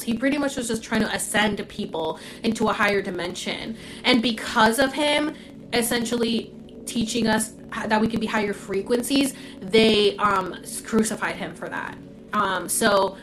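A young woman from Puerto Rico, raised in Florida, chatting bluntly into her phone on a casual livestream. Her voice sits at a middle pitch and she swears freely, peppering her talk with "like." A faint noise runs under the recording. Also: a bit bright, rough recording. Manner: blunt and candid, swears freely, many "like" fillers. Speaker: livestream host. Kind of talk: casual livestream chat answering comments.

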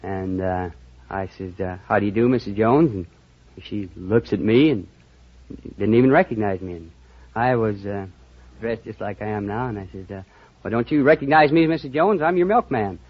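A man's voice: fairly low pitch, normal speed. An American man from Montana answering as a quiz contestant, folksy and a little hesitant as he tells things conversationally. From Montana, United States. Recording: dull old radio recording.

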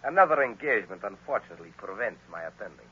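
An actor playing a formal, imperious hypnotist professor, a scheming villain in a 1930s radio drama. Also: male voice, lowish voice, lively intonation, fast talker, breathy edge. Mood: smooth evasion. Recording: muffled old 1930s radio recording.

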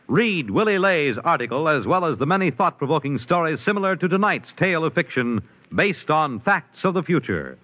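A man's voice: a little high, sing-song, normal speed. A man with an American accent giving formal, polished scripted announcements in a 1950s radio style. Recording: dull vintage radio recording.

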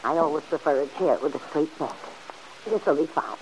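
An actress playing a kindly, principled older aunt who chides gently and earnestly in an old-time radio serial. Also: female voice, low voice, normal speed, natural voice, poor audio. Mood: friendly. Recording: hissy old radio recording.

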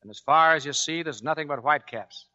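An actor playing a tough, commanding American Navy chief in a 1940s radio drama, with gruff, slangy straight talk. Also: male voice, fairly high pitch, brisk, pushed and bright. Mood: tense, building. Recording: dull, band-limited 1940s radio recording.

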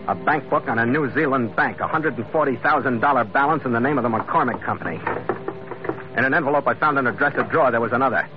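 An actor playing a tough, world-weary investigator in an old-time radio noir, with clipped, dry, wisecracking delivery. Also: male voice, medium pitch, lightly acted. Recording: muffled vintage radio recording, music behind.

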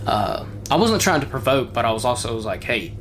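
A man co-hosting a podcast, rambling in casual chat with lots of fillers, his voice a little hoarse. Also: mid-range voice, big pitch swings, fairly quick, a bit bright, slight background noise. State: voice slightly hoarse. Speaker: podcast co-host.

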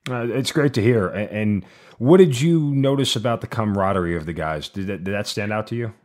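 A man with a low voice hosts an interview. He asks probing follow-up questions at a quick clip and is eager to dig into the details. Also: darkish tone. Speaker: interviewer host.

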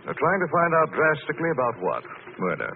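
An actor playing a suave, unruffled gentleman sleuth in a 1940s radio drama, explaining things in an urbane way. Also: a little high, wildly swooping pitch, fast, heavily acted. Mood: urbane, playful. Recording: tinny old radio recording, clear noise.

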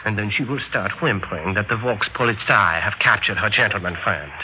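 Actor as low-voiced scheming husband, crackly old radio. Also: male voice, fairly quick, lightly acted. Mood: impatient, defensive. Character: scheming German husband smuggling his wife out, smooth and impatient. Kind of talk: old-time radio drama acting. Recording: noisy, narrow-band old radio recording.

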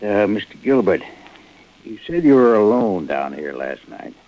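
Very deep-voiced shrewd American sheriff. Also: male voice, big pitch swings, measured pace, mellow. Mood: casual probing. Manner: casual, probing, understated. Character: shrewd, folksy sheriff slowly cornering a suspect. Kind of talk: 1940s American radio drama acting. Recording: muffled old radio recording.

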